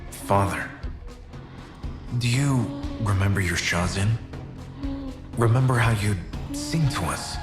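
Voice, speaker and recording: An actor playing a gentle, loyal young son of a warrior in a video game, soft-spoken and solemn. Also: male voice, fairly low pitch, takes it slowly, long pauses. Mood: tender, solemn. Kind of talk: video game cutscene dialogue. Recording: echoing, music behind.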